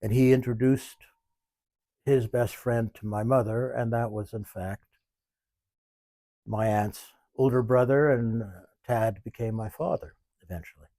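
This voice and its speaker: A man in his seventies, talking in a measured, thoughtful way and pausing often mid-sentence, in an interview. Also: medium pitch, fairly even intonation, warm tone, no room sound. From Canada. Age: 70s. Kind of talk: reflective interview. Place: small museum.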